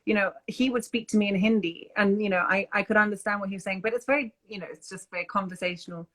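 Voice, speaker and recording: A woman singer talking as an interview guest in a thoughtful, relaxed way. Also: mid-range voice, quick pace. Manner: thoughtful, relaxed, with frequent fillers. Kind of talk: casual conversational interview.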